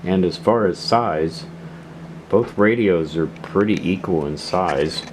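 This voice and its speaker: A man's voice: low voice, slow, background noise. A man giving a casual, unscripted product demonstration as a hobbyist reviewer, talking in a hesitant, rambling way.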